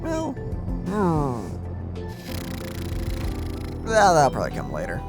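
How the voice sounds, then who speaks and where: A streamer giving informal, animated gameplay commentary, reacting to the story with mock indignation. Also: mid-range voice, takes it slowly, music in the background. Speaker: let's play streamer.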